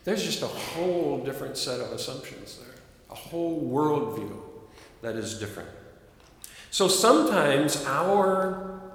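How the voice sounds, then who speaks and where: Older Midwestern man preaching in a hall. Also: mid-range voice. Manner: reflective, measured, earnest. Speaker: minister. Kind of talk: sermon. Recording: echoey hall, long reverb.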